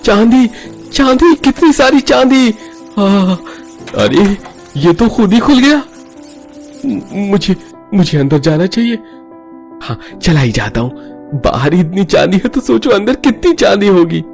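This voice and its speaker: A man's voice: sits high, big pitch swings, measured pace, gravelly, long pauses, a little mellow, exaggerated voice. A man voicing a nervous, greedy man in a cartoon horror story, stammering and muttering to himself. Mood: awed, greedy. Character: nervous, greedy man sneaking into a haunted palace. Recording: music behind the voice.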